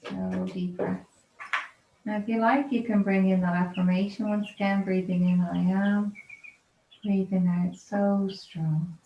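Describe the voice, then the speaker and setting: A woman teaches yoga relaxation with a soothing, informal manner and a middling pitch. She guides each step gently and takes her time. The recording is muffled, with clear noise behind her. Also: flat delivery, unhurried. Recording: roomy echo, dull lo-fi sound, clear background noise.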